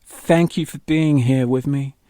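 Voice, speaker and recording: A man's voice: mid-range voice, fairly even intonation, measured pace, warm tone, dry recording, studio quality, voice pushed up, close to an everyday voice. A man voicing a gushing, blissed-out female spiritual seeker in an audio drama, earnest and dreamy. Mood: dreamy, adoring.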